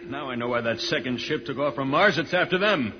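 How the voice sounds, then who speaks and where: A man's voice: medium pitch, animated, a little fast, crowd noise, natural voice. Man playing an earnest, decisive space hero. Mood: urgent, worried. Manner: decisive, explanatory. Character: earnest, level-headed adult male space hero and leader. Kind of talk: old-time radio serial acting. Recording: dull old radio recording with music.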